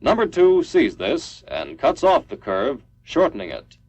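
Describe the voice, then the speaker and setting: A man's voice: fairly high pitch, huge pitch swings, unhurried, a little mellow, no room sound. An American man reading scripted military training film narration in a clipped, instructional manner. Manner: instructional, clipped declarative delivery.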